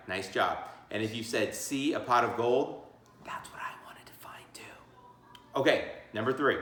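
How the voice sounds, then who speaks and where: A man's voice: mid-range voice, a bit bright, a little hiss or hum. A man reading a children's book aloud as a teacher, theatrical and encouraging, breaking off for chatty asides and questions. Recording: echoey room.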